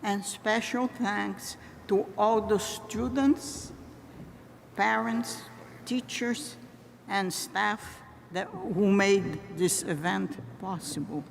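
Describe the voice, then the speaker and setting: Older woman, graduation speech, unhurried, raspy edge, long hall reverb, faint background noise. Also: mid-range voice. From Brazil. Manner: deliberate, halting, with frequent pauses and restarts. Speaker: commencement speaker. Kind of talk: graduation address. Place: large ceremony venue.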